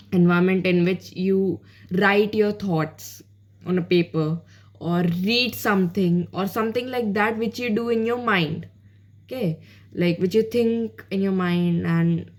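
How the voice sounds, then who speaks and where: A woman's voice: medium pitch, darkish tone. A woman with an Indian accent whose first language is Hindi, giving casual spoken learning tips as a channel host in a rambling way full of fillers, slipping between English and Hindi. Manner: rambling, with many fillers and restarts.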